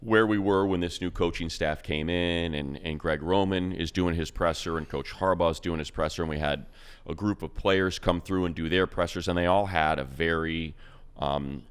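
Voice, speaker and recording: A man's voice: sits low, fairly bright tone, smooth. A man, a radio play-by-play announcer, giving casual, rambling football analysis with plenty of fillers. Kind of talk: casual sports talk.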